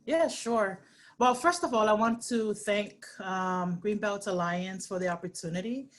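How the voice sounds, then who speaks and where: A woman from Samoa, a guest on a webinar interview over a video call, talking earnestly with many pauses and restarts. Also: medium pitch, slight background noise.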